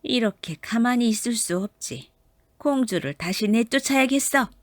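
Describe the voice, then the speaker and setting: Fairy-tale evil stepmother queen, woman narrator acting: high, spiteful, gloating schemer. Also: expressive, normal speed, no room sound, lightly acted. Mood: scheming. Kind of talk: storybook character voice.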